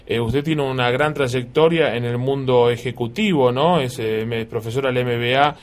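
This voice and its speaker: A man's voice: mid-range voice, normal speed. A man with an Argentine accent hosting a radio interview, asking long, rambling questions full of restarts. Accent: Argentine Spanish. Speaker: radio host.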